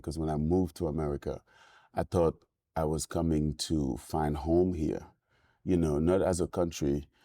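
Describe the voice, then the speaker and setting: Very deep-voiced Senegalese-accented man. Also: dark tone, no room sound, clean studio sound. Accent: Senegalese French. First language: French. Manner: thoughtful, halting with many ums and restarts. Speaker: guest. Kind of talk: reflective interview talk.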